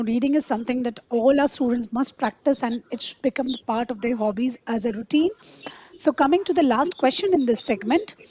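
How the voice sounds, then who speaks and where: A woman's voice: fairly high pitch, warm tone. A woman hosting an interview, politely affirming her guest and calling her ma'am. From India. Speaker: interview host. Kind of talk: interview questions. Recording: dull, muffled audio.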